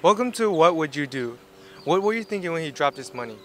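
A man's voice: on the high side, expressive, fairly quick, noisy background. A teenage boy hosting a hidden-camera game show bit, asking casual, hesitant questions. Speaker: student game show host.

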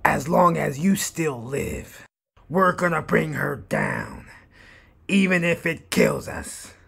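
Gravelly, grimly determined fighter. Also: male voice, fairly high pitch, huge pitch swings, takes it slowly, bright, forceful tone, exaggerated voice. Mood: grim resolve. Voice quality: gravelly, raspy. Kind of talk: fan-made dramatic manga reading.

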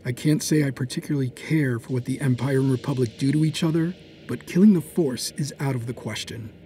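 A man playing a fierce, sardonic dark-side warrior in a sci-fi audio drama. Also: mid-range voice, fairly quick, a little hiss or hum, natural voice. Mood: wry, firm.

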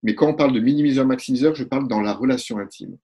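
Couples therapist in his 40s, husky voice, lively, webcam call at home. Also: medium pitch. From Italy. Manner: animated, gestures a lot, acts out quick exchanges. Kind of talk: explanatory webinar talk. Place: room at home. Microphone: webcam on a video call.

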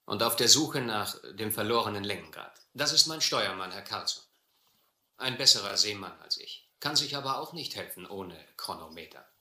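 An actor playing a shrewd, suspicious South Seas sea captain in a German adventure radio drama, speaking in a measured, dry way. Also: male voice, fairly low pitch, lively intonation, sharp tone, echoey room. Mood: dry, polite. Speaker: actor playing captain and first-person narrator.

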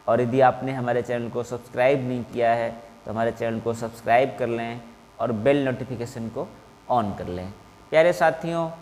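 A man, making a measured, formal appeal to camera as an exam coaching teacher. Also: mid-range voice, a little hiss or hum. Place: small indoor classroom in front of whiteboard. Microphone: clip-on lapel mic. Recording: reverberant, hard-walled room.

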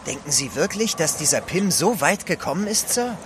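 An actor playing a nervous, deferential manservant in an adventure radio play, with jittery politeness. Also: male voice, a little high, big pitch swings, normal speed, background noise. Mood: awed, anxious.

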